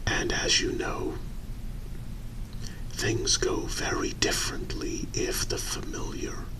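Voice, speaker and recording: A man playing a calm, discreet vampire in a whispered ASMR role-play, speaking in deliberate, halting, measured phrases. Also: lightly acted, poor audio. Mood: hushed, measured.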